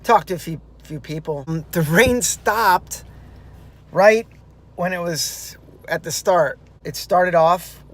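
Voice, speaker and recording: A man talking straight to his handheld camera, recapping a race in a loose, rambling way. His voice sits a little high, and he keeps restarting sentences and tossing in fillers as he goes. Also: slow. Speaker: runner vlogging a marathon. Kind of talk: casual vlog recap. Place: outdoors and in a car.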